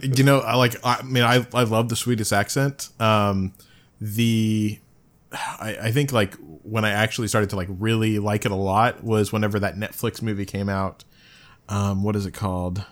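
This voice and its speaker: Lower-pitched podcast host, rambling. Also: male voice, fairly even intonation, moderate pace. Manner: rambling, lots of fillers, laughs often. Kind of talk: casual podcast chat.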